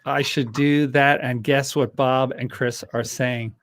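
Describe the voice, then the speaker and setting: A man's voice: medium pitch, fairly even intonation, takes it slowly, a bit bright, slight background noise. A man hosting a live-stream podcast, asking a guest questions and joking with the chat in a playful way. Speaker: host of a fan podcast. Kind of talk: casual live-stream interview.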